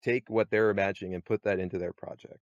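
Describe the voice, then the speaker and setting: A man hosts a podcast in easy, chatty talk with a lowish voice. The sound is dry and close, with no room around it. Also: fast talker, no room sound, highs cut off. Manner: relaxed, chatty, laughs often. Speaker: podcast host. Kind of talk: casual podcast chat.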